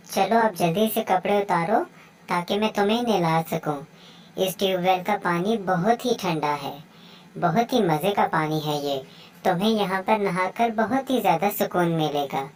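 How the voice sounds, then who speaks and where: A woman's voice: fairly low pitch, moderate pace, recorded from a distance, lightly acted. A woman voicing a caring but strict mother bird in a children's moral story cartoon. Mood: soothing.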